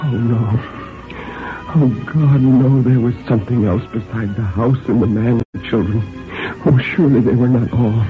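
A man's voice: mid-range voice, moderate pace, lightly acted. A man voicing a proud, wounded old woman near death, insistent and emotional, in a story reading. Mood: distressed. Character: proud, wounded dying old woman remembering her life. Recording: thin phone-like band, music behind.

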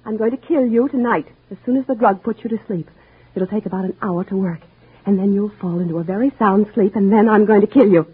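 An actress playing a mousy, downtrodden wife who calmly plans to murder her husband, in old-time radio drama style, speaking quietly and chillingly and breaking into sobs. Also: mid-range voice, fairly quick, slightly rough. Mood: calm menacing. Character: timid downtrodden wife turned cold poisoner. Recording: muffled lo-fi old radio recording.

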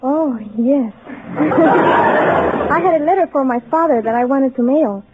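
A woman's voice: sits high, takes it slowly, a crowd in the background. A woman of about twenty-one with a Guatemalan accent, a native Spanish speaker, answering questions as a college student contestant. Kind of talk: interview answers. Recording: tinny, noisy old broadcast with audience.

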